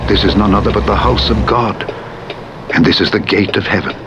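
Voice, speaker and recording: A man narrating the Bible in a solemn, measured and formal declamatory manner. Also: dull audio. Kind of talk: solemn scripture reading. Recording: played in a game over rumbling truck engine noise. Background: vehicle rumble from a truck simulator game.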